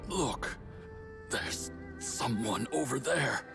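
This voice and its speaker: A man playing a grave, weary, dying warrior who has lost his memory, speaking weakly and haltingly in a dramatic game scene. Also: medium pitch, expressive, gravelly, heavily acted. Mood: solemn, stirred. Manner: slow, halting, solemn. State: weak, near death. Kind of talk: dramatic video game acting. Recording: loud music over the voice.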